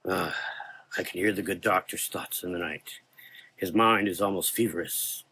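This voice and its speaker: A man's voice: deep voice, moderate pace, pushed and bright, husky, full character voice, poor audio. A man playing a mysterious gothic figure with a gift for minds, speaking in a soothing, coaxing, hypnotic way in an audio drama. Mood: brooding.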